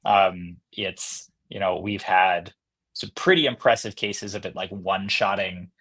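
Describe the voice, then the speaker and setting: A man's voice: sits low, fairly even intonation, a little slow, a bit bright, breathy edge, poor audio. A man speaking casually as a company executive guest in an interview, feeling his way through his answers as he talks.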